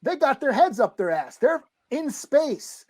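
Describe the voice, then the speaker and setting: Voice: high-pitched, sing-song, unhurried. A podcast co-host chatting and debating casually, in informal, slangy language with plenty of swearing. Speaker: podcast co-host discussing comic books.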